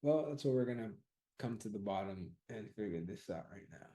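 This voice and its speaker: Low, mellow-voiced man thinking aloud. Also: lively intonation, brisk. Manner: thinks aloud, loose and profane, repeats himself. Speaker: instructor polling his audience about class times. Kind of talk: casual live chat.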